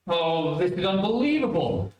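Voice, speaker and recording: A man's voice: high-pitched, sing-song, moderate pace, a little mellow. Man playing an eager, exasperated radio-comedy dad, echoey. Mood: cajoling. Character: eager, exasperated matchmaking father of a little girl. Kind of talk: old-time radio comedy acting. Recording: echoey room, distant mic.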